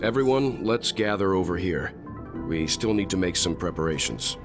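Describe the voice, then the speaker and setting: Courteous mature male mentor, deep and steady, reassuring game dialogue over music. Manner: reassuring, polite, deliberate. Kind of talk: video game voice acting.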